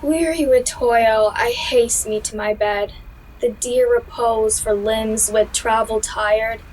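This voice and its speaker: A woman's voice: fairly high pitch, steady tone, takes it slowly, a little mellow, a little hiss or hum, poor audio. A young woman performing a verse monologue as a weary, sleepless lover. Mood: weary, longing. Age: young adult. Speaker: student drama performer. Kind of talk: dramatic monologue reading of verse.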